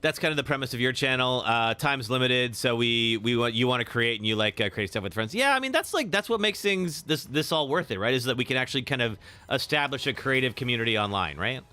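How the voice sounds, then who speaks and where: Chatty man with slightly raspy voice. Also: medium pitch, fast, dry recording. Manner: chatty, reacts with sudden loud exclamations; filler-heavy, answers chat. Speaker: streamer. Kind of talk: live gameplay commentary.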